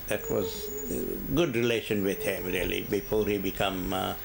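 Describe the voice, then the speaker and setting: An older retired man, born in India, answering oral history questions in a halting way with many pauses and fillers. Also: deep voice, steady tone, creaky, over music. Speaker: interviewee.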